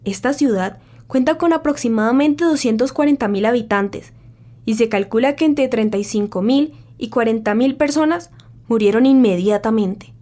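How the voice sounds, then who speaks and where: A woman's voice: on the high side, moderate pace, warm tone, lightly acted. A woman playing a solemn radio newsreader of the 1940s, delivering scripted war news in a formal, grave, dramatic way.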